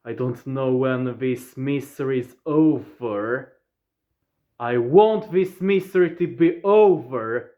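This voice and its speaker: A man of twenty-five with a Swedish accent, talking straight to his viewers in a plain, deliberate way, often opening with "yeah.". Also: medium pitch, phone-quality sound. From southern Sweden. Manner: deliberate, plain phrasing, starts points with "yeah". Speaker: vlogger. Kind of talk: casual personal recap to viewers.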